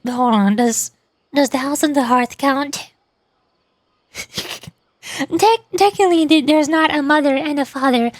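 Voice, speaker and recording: A woman's voice: high voice, dry recording. A woman streaming a game, joking and stammering playfully as she riffs in commentary. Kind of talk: livestream game commentary with read-aloud dialogue. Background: music.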